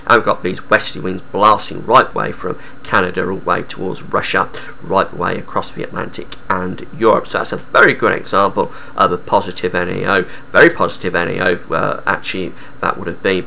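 Croaky man with a sore throat. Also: low-pitched, sharp tone, a little hiss or hum. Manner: halting, many uh fillers. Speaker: weather forecaster. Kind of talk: explaining weather charts.

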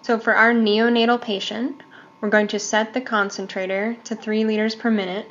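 A woman giving step-by-step instructions as a training instructor, in a measured way. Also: a little high, little pitch movement, a bit bright, muffled recording. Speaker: medical device training instructor.

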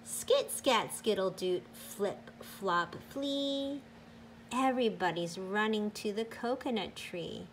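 A woman teacher reading a picture book aloud to children in a deliberate, sing-song, emphatic way. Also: medium pitch, a little hiss or hum.

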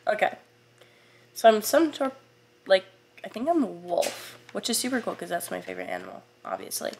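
A hoarse young woman talks chattily through her game footage, her gravelly, raspy voice still rough from a throat illness. She gets excitable and gushes at what she sees on screen. A faint hiss of noise sits under her voice. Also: mid-range voice, normal speed. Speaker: gaming YouTuber. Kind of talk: casual let's-play commentary.

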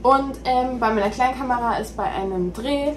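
A woman's voice: on the high side, normal speed. Young woman vlogging in her kitchen, chatty and rambly, phone mic, pretty noisy. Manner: chatty, rambling, many fillers. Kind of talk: casual chat to camera. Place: home kitchen and a garage. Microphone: phone camera built-in mic.

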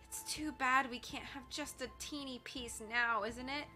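Woman doing sweet young girl, dreamy, slow, high. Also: steady tone, sharp tone, faint music behind. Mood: wistful. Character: sweet young woman, food-loving and cheerful.

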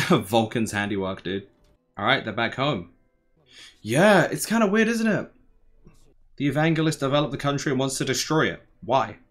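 A young man giving casual, slangy reaction commentary, musing aloud in a laid-back, tired, low-energy way. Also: sing-song, moderate pace, long pauses. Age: young adult. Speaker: anime reaction host. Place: small bedroom, indoors.

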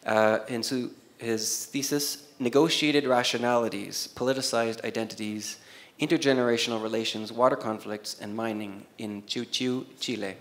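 A man's voice: mid-range voice, steady tone, measured pace. A man in his forties who is not a native speaker, reading out award nominees from a panel table, hesitant with many fillers and restarts. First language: not Spanish. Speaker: award presenter. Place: university auditorium, seated at a panel table. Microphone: desk microphone on a stand.

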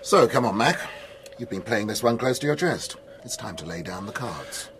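An actor playing a sceptical doctor in a radio drama, probing and insistent. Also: male voice, on the low side, lively intonation, moderate pace, a bit bright. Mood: challenging. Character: sceptical, challenging expedition doctor. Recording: crowd noise behind the voice.

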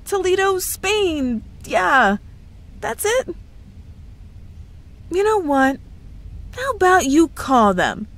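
A woman's voice: very high voice, big pitch swings, very slow, long pauses, full character voice. A woman playing a cheerful, evasive young girlfriend who is secretly a witch, in a playful ASMR roleplay with feigned innocence. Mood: flustered denial. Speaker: audio roleplay voice actress. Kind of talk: ASMR roleplay, scripted one-sided dialogue.

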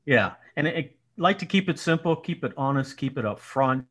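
A man's voice: mid-range voice, animated, a little fast, fairly bright tone, breathy edge. A man in his sixties from Colorado with a nasal voice, a guest telling self-mocking stories on a video call from his home. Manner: self-mocking storyteller, laughs often. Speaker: podcast guest, business owner. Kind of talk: casual video call chat. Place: home room indoors. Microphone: headset, video call.

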